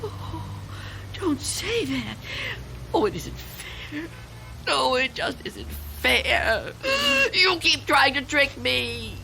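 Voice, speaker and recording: An actress playing a frightened, suspicious old recluse in a radio drama, distrustful and pleading. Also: female voice, high voice, big pitch swings, very slow, vocal fry, sharp tone, soft background music, full character voice, poor audio. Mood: anguished.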